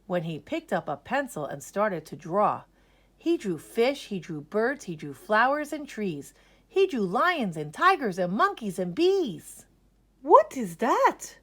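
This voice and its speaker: Animated woman reading a rhyming children's story. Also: medium pitch, unhurried. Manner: rhythmic, dramatic emphasis on key words. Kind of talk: expressive children's read-aloud.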